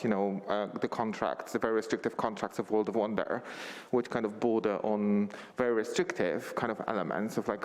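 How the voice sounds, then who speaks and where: A man with a Polish accent asks a question from the audience at a live panel. His voice is muffled by a face mask, and he speaks hesitantly with nervous laughs. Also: mid-range voice. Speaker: audience member asking a question. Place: meeting house hall. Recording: long hall reverb.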